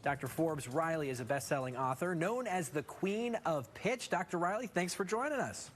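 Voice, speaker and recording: A man hosting a TV interview, reading a scripted intro and asking questions in a polished, measured way. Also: on the high side, lively intonation, soft background music. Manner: polished, measured anchor delivery.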